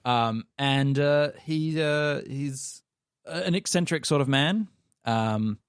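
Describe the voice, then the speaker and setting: Mid-pitched Australian man in dry casual chat. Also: takes it slowly, clean tone, no room sound. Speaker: actor and player on a tabletop role-playing podcast.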